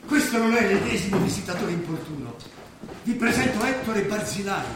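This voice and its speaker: A man's voice: high voice, lively intonation, brisk. A man acting in an Italian stage drama, playing a courteous, educated former official in poverty, formal and deferential. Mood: formal, respectful. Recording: echoey hall, distant mic, clear noise.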